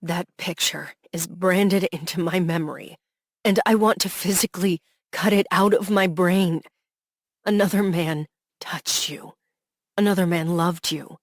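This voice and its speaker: Woman voicing a heartbroken young man, raw, pleading, slow, dry close sound. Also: on the low side, steady tone, slightly rough, dry recording. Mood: anguished. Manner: raw, pleading, rising to anguished outbursts. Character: heartbroken young man pouring out jealous love.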